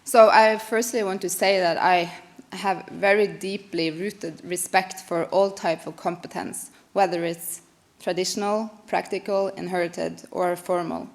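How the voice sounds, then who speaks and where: A woman's voice: on the low side, steady tone, measured pace. A woman in her thirties from northern Norway giving a conference presentation, informal and hesitant with frequent fillers. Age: 30s. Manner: informal, hesitant, some laughter. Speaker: conference speaker. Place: conference hall.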